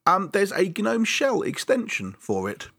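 A man with a bright, lively voice that swings up and down as he chats, stumbling over restarts and laughing at himself. He sits close to a dry mic. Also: sits high, gravelly, no room sound. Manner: chatty, self-deprecating, laughs often, halting restarts. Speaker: podcast co-host. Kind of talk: casual chat.

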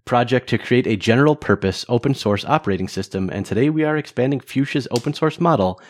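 A man's voice: medium pitch, lively intonation, fast. Male co-host, mid-range voice, easygoing, reads a news snippet aloud. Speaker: podcast co-host. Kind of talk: casual tech chat.

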